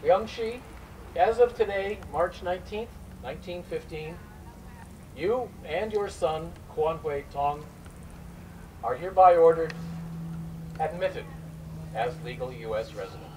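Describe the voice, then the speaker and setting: A man playing a formal early 1900s immigration inspector in a staged reading, speaking in a measured, deliberate way as he delivers official rulings. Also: a little high, lightly acted. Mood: solemn, formal. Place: live staged reading before an event audience. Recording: distant mic, faint noise, live event.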